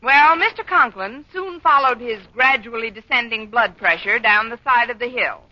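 An actress playing a wry, quick-witted schoolteacher with an American accent and a wisecracking way in old-time radio comedy. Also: female voice, on the high side, bright, forceful tone. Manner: dry, wisecracking. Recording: dull old radio broadcast, studio audience behind.

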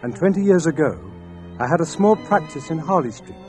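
A man's voice: fairly high pitch, expressive, a little fast, dark tone, soft background music. Pompous theatrical man narrating. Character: pompous Victorian ear doctor. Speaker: narrator and lead actor. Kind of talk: comic radio play narration.

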